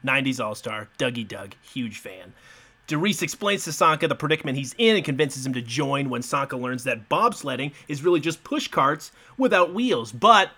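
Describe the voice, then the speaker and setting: A young man casually recapping a film on a podcast, animated and swearing, laughing often. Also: medium pitch, normal speed. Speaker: podcast co-host. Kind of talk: casual movie recap chat.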